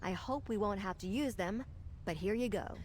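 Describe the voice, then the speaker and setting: A woman's voice: medium pitch, moderate pace, darkish tone, lightly acted. A woman voicing a dry, practical mage companion. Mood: matter-of-fact. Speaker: game character. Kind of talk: video game dialogue.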